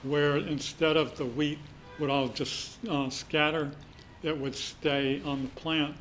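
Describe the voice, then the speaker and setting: A man's voice: a little high, little pitch movement, takes it slowly, fairly bright tone, slight background noise, rough recording. A man in the audience telling a meandering, hesitant story, full of fillers. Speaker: audience member. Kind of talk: casual question from the audience. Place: community room.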